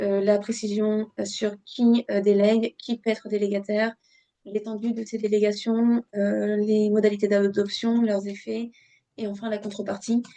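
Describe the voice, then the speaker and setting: Woman presenter, soft-toned and halting. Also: medium pitch. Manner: hesitant, halting delivery with frequent filled pauses; didactic, addresses listeners directly. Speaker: webinar presenter on local government law. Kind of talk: explanatory webinar presentation from slides.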